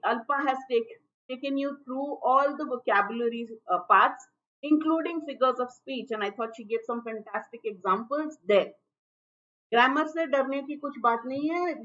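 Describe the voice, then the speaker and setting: A woman with a high, light voice teaches exam in a chatty way, with fillers and restarts. Her live stream sounds dull and muffled, as if through a weak mic. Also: sharp tone. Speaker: English teacher for law exam prep. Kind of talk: online exam prep lesson. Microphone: low-level stream mic. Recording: dull, muffled livestream audio.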